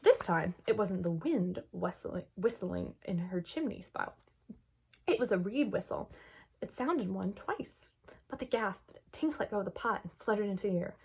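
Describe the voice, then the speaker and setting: A woman reading a children's story aloud expressively, with dramatic emphasis and hushed moments. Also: medium pitch, lively intonation, normal speed, creaky, breathy edge, poor audio. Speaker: children's book read-aloud host.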